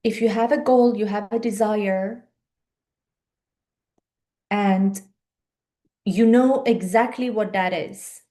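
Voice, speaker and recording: A woman's voice: mid-range voice, steady tone, takes it slowly, long pauses, dark tone. A woman, a coach giving a live online talk in an earnest, urging way full of rhetorical questions. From Iran. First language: Persian.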